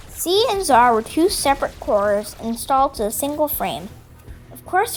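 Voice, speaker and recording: A woman voicing an earnest female rebel robot, solemnly reading dramatic logs of an uprising. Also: a little high. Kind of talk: scripted dramatic log narration. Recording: music behind the voice.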